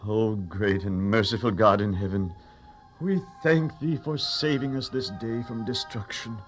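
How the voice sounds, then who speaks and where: An actor playing a devout, grave patriarch praying with solemn, archaic diction in a dramatized Bible story. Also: male voice, on the low side, unhurried, faint music behind, lightly acted. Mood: solemn, thankful. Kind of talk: dramatized audio Bible story, formal prayer.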